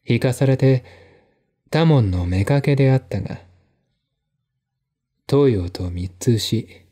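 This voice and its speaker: A man narrates a mystery novel as a dramatic audiobook. His voice is mellow and medium in pitch, and he reads slowly, phrase by deliberate phrase. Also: breathy edge. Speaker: narrator and reader.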